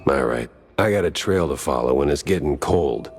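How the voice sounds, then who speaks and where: Low-voiced, hardboiled American noir detective. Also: male voice, normal speed, gravelly, husky, heavily acted. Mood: businesslike. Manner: terse, deadpan noir delivery. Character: world-weary, wry hardboiled male mouse private detective. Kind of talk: 1930s noir detective game acting.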